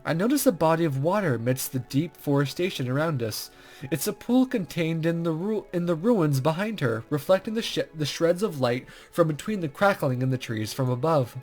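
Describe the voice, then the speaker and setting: A man's voice: on the high side, lively intonation, normal speed, a little mellow, soft background music. A man hosting a let's play, reading visual novel text aloud with casual commentary and stumbling over words as he goes.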